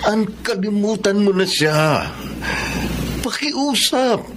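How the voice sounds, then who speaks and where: An actor playing a jealous, hurt lover in a radio drama, reproachful and insistent. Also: male voice, high voice, expressive, slow pace, noisy background. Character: jealous, hurt male lover demanding full attention. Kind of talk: Tagalog radio drama acting.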